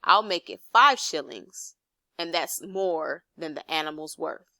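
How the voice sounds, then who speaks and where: Storybook horse dealer played by a woman: terse, shrewd haggler, slow delivery, close dry recording. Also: low voice, lively intonation, pushed and bright, no echo, exaggerated voice. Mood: bargaining. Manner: brief, bargaining. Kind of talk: children's storybook read aloud.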